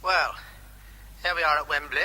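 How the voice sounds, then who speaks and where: A man's voice: on the high side, steady tone, normal speed, sharp tone, low-quality recording. An actor playing a facetious, nervy army captain giving a running commentary as he works on a bomb, with faint noise behind, in a radio drama. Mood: jokey, nervy.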